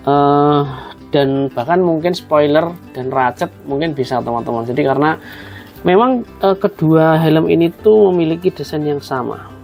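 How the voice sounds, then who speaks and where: A man's voice: fairly high pitch, moderate pace, creaky, darkish tone, faint music behind. A man explains a hands-on job step by step in a casual, conversational way, addressing viewers as friends. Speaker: host of a tutorial video.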